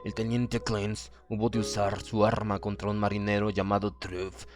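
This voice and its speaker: A man's voice: on the low side, little pitch movement, normal speed. A man reads a horror story aloud as an audiobook narrator, in dramatic scripted narration. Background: background music.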